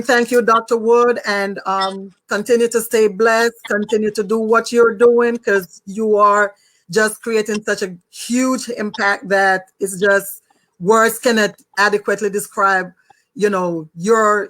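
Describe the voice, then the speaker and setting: A woman's voice: medium pitch, fairly bright tone, rough recording. A woman with a Jamaican accent, speaking as the host of an online talk show.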